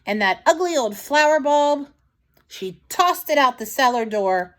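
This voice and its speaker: Woman, children's storytime reader, highly varied intonation, unhurried pace, expressive read-aloud, deliberate dramatic phrasing. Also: slight background noise. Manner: dramatic, deliberate storybook delivery with asides to listeners.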